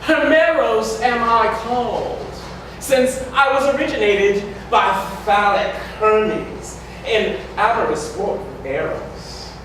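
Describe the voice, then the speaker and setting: A performer playing a festive, ceremonious god of lovers, declaiming florid verse in drawn-out phrases at a staged reading. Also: medium pitch, a bit bright. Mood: grand, playful. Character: Hemeros, grandly festive male deity of lovers, ceremonious herald. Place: community hall stage before an audience. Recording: echoey hall, distant mic, noisy.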